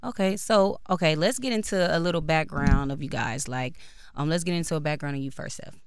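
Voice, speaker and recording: An upbeat woman hosts a radio interview, asking short questions in a smooth, clear voice that sits a little low. She is recorded dry and close at a studio mic. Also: fairly quick, no room sound. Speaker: radio host.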